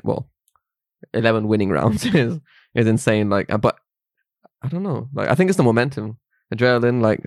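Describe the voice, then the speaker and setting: Young man, quick rambling storyteller, mid pitch, dry recording. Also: no echo. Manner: rambling, lots of fillers and laughs. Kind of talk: casual chat among friends.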